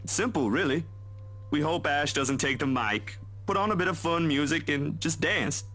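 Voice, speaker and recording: A man voicing a friendly, easygoing young man in a game, with a relaxed, teasing way of talking. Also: fairly high pitch, moderate pace, soft background music. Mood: playful.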